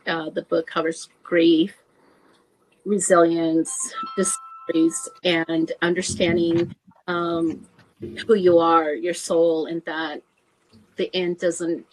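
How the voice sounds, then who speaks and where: Soft-spoken woman author guest. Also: lowish voice, flat delivery, slow, poor audio. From United States. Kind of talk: casual interview answers.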